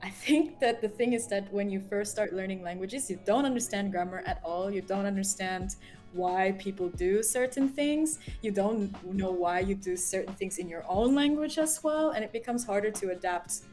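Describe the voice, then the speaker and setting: A woman co-hosting a casual chat, explaining things in an animated way with many fillers. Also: mid-range voice, normal speed, soft background music. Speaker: co-host.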